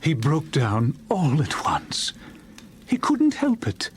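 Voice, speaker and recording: Man, solemn storybook narration, animated pitch movement, faint background noise. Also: takes it slowly. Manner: solemn, expressive. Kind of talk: dramatic scripted storybook narration.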